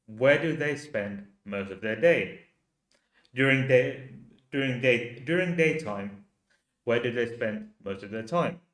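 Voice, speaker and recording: A man from Finland with a Finnish accent, a native Finnish speaker, hosting a livestream and talking in slow, halting rhetorical questions to his viewers. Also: medium pitch, lively intonation, fairly bright tone, roomy sound. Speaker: channel host. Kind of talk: livestream commentary reading chat.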